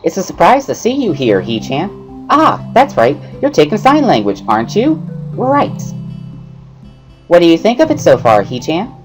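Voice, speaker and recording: Man putting on a high, bubbly schoolgirl. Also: wildly swooping pitch, moderate pace, faint music behind. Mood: surprised, chirpy. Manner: exclamatory, gushing, sing-song. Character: cheerful, bubbly, excitable teenage schoolgirl. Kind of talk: dramatic reading of a visual novel.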